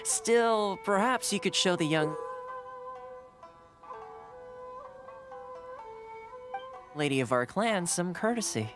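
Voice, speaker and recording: A man's voice: sits high, sing-song, normal speed, music in the background. A man voicing an affable young clan attendant and fixer in a video game, polite, playful and diplomatic. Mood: veiled threat.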